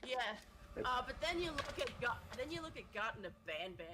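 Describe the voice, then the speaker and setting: A woman chatting casually on a stream, rambling and halting, dropping in Japanese phrases. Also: high-pitched, big pitch swings, bright, forceful tone, slight background noise. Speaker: co-streamer guest. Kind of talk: casual chat.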